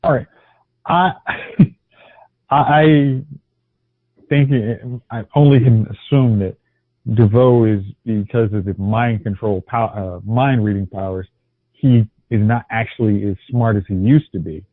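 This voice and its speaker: Lower male co-host, halting and restarting, dry tinny phone-like audio. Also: dry recording. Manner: hesitant, many restarts and fillers. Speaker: podcast co-host. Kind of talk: casual TV review chat.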